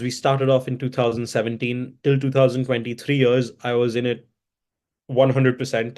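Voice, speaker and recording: A hoarse man giving long, rambling interview answers, with many fillers and self-corrections. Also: medium pitch, darkish tone. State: hoarse from chanting. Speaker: interview guest, events entrepreneur.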